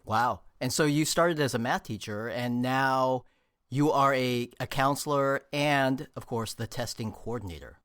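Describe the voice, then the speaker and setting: A man's voice: mid-range voice, a little slow, fairly bright tone, clean tone, no room sound. Upbeat male podcast host, dry sound. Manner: upbeat and curious, laughs easily. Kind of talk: interview hosting.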